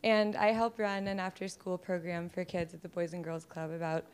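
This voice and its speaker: Clear-voiced young American woman asking a question. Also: lowish voice, little pitch movement, normal speed, darkish tone. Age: young adult. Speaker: audience questioner, college student. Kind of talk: casual spoken question.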